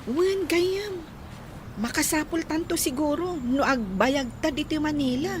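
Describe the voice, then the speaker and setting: A woman's voice: high-pitched, animated, measured pace, noisy background. A woman playing an observant young newcomer from the province in a radio drama. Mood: uneasy, observant. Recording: prominent music behind dialogue.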